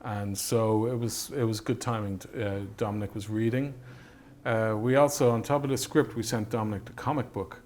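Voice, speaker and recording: A man reminiscing in a documentary interview as a filmmaker, in a halting, thoughtful way with frequent pauses. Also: on the low side. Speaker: film director and effects artist being interviewed. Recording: steady background noise.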